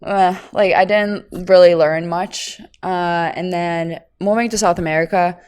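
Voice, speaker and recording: Lithuanian-born woman, low voice, laughing easily. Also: steady tone, unhurried, darkish tone, smooth. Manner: laughs often while telling stories. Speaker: podcast guest. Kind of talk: casual interview chat.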